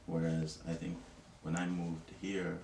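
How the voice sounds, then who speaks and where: A man's voice: low voice, completely flat delivery, unhurried, gravelly, darkish tone, echoey room, far from the mic, slight background noise. A man from Tonga, an interviewee, talking reflectively in a casual way, with many "you know" fillers.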